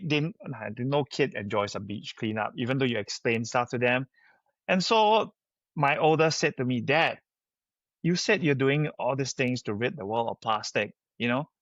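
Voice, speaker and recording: A man's voice: medium pitch, a bit bright, no room sound. Singaporean man, dry slightly dull recording. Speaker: company founder, podcast guest. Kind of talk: conversational interview answers, anecdotal.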